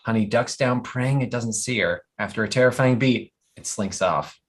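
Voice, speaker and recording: A man reading scripted stage directions at an animated comedy table read, telling the action with amused storytelling flair. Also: medium pitch, normal speed.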